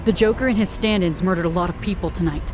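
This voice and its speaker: A woman's voice: lowish voice, fast, close to an everyday voice. A woman playing a determined female vigilante with a firm, earnest delivery in a comic dub. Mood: determined, grave. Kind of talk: dramatic comic dub acting.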